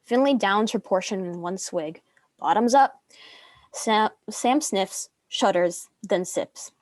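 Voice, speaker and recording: A woman's voice: mid-range voice, takes it slowly, darkish tone, no echo. A young woman reading screenplay stage directions as a narrator. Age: young adult.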